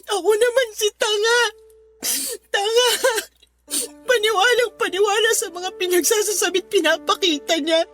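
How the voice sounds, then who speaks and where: A woman's voice: extremely high pitch, steady tone, normal speed, a bit bright. A woman acting an anguished, betrayed wife and mother in a radio drama, tearful and full of self-blame. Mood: hurt, bitter. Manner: tearful, emotional delivery. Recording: faint music bed underneath.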